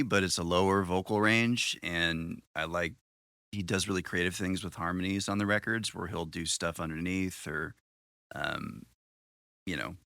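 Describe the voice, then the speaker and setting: A deep, gravelly baritone man talks through his singing in an easygoing, thoughtful way, often pausing on an um. His voice sits very low, has a bright edge, and the room sounds close and dry. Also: no room sound. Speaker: band singer. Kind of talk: casual interview chat.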